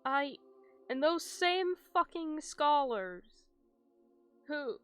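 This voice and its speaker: A woman livestreamer reacting casually to a game, speaking in a halting, emotional way. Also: high-pitched, sing-song. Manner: halting, emotional, swears freely. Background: game music.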